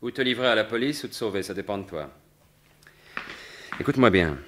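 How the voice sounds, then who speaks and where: A suave gentleman thief from an old-fashioned radio mystery. The voice is on the low side and swoops expressively. He is sardonic, teasing and completely sure of himself. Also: expressive, fairly quick. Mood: commanding, menacing. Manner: theatrical, sardonic, teasing, assured. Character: suave, mocking gentleman thief confronting a criminal baron. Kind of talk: French radio mystery drama acting in 1950s manner.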